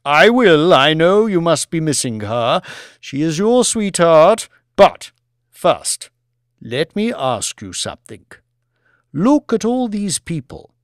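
Guy doing pompous older english lord for an audiobook, evasive, emphatic, voice goes high and all over the place. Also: very animated, dry recording, clean studio sound. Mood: probing, lofty. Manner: grandiose, emphatic, drawn-out delivery. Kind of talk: dramatised audiobook character.

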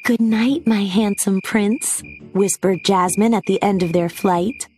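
A woman reading a children's storybook aloud as narrator, with expressive scripted storytelling delivery. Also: mid-range voice, measured pace. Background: background music.

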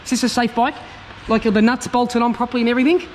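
High-voiced cheeky Australian man. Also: fairly even intonation, darkish tone, a little hiss or hum. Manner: cheeky, teasing, fast banter. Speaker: vlogger host. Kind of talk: casual joking chat. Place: large indoor garage and bike shop.

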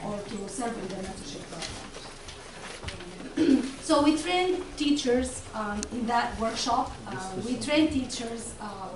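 A woman giving a conference presentation, hesitant and full of fillers, recorded from the back of a large lecture room. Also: high-pitched. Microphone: far camera. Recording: echoey room, recorded from far, audience noise.

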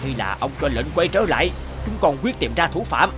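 A man acting male characters in an animated Vietnamese folk tale. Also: on the high side, brisk. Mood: agitated. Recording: loud background music.

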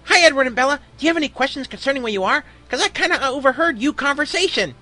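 A man voicing an excitable, giggly talking cartoon sponge with a high, boyish, grating voice. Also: fast, exaggerated voice. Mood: curious, eager. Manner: giggly, overeager.

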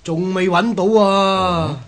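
A man's voice: sits high, fairly even intonation, takes it slowly, a bit bright. An actor playing a flustered underling calling to his master in a radio drama, with a Hong Kong Cantonese accent. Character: flustered underling reporting to a monk.

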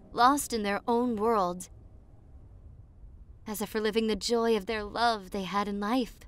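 A young woman playing a popular college girl on a spooky romantic date, in an ASMR roleplay with a hushed, dreamy manner and dramatic pauses. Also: mid-range voice, natural voice. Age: young adult.

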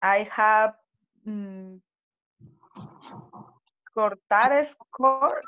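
A Spanish-speaking woman learning English, answering haltingly in an online class. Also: mid-range voice, fairly bright tone, highs cut off. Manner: hesitant, halting beginner English. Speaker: student.

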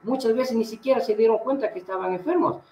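A man's voice: very high voice, quick pace, dark tone, a little hiss or hum. A middle-aged man with an Ecuadorian accent, a gym director speaking earnestly as a guest in a remote interview, asking emphatic questions. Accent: Ecuadorian Spanish. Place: indoor room, video call. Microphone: webcam mic on a video call. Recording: roomy, slightly dull video call audio.

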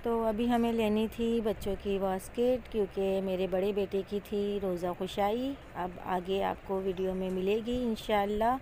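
A woman's voice: medium pitch, steady tone, moderate pace, hardly any pauses, dark tone, low-quality recording. A woman casually narrating a vlog as she goes about. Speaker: vlogger, mother. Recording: clear outdoor street and vehicle noise.

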